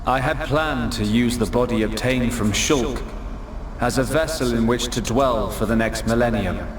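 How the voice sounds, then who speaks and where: A man voicing an arrogant creator god in a game cutscene, pompous and condescending in measured speech. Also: mid-range voice, noisy background, lightly acted. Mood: lofty, contemptuous. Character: arrogant godlike creator, male, serene and contemptuous. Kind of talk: dramatic game cutscene acting. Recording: echoing voice under loud score.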